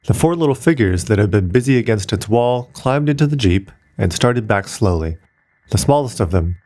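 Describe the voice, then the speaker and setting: A man narrating a short science fiction story in a steady, measured way. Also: on the low side. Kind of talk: scripted audiobook narration.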